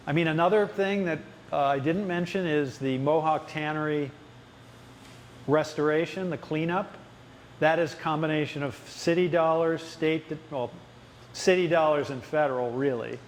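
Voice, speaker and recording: A man with a somewhat high voice explains things at a town hall, pausing often for ums and restarting his sentences. His voice carries a long hall echo under a faint hiss. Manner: explanatory, halting with many fillers and restarts. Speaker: city official at a ward town hall. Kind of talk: public town hall answers. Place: town hall meeting room.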